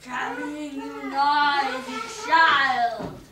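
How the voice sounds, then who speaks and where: A woman's voice: sits high, expressive, takes it slowly, crowd noise. A woman voicing a greedy old witch woman with a gritty, cackling voice that rises to shrieks. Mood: scolding. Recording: echoey room, distant mic, noisy.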